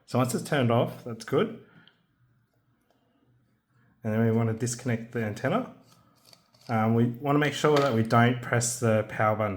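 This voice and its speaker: A man narrating a hands-on assembly tutorial in a casual, careful, unscripted way, pausing as he works. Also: medium pitch. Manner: unscripted, careful, halting while working with hands. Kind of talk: casual step-by-step instructional narration. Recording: background noise with clicks and handling sounds.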